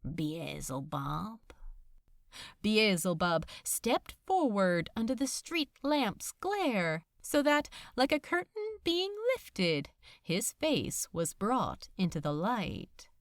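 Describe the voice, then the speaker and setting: A woman narrates fiction with dramatic, lively swings in pitch. She takes her time, setting out short measured phrases with pauses between them, in a dry, close recording. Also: no echo. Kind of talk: scripted story narration, dramatic reading.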